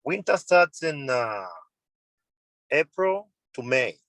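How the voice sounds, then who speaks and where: A man's voice: a little high, expressive, slow, a bit bright, no echo, clean studio sound. A man in his thirties from Tanzania, a mountain guide, answering interview questions on a video call in earnest, simple phrasing, often repeating and correcting himself. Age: 30s. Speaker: mountain guide and tour company owner.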